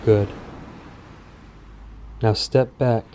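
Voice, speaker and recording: A man leading a guided sleep meditation in a soothing, hushed manner with long pauses. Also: lowish voice, steady tone, a little hiss or hum.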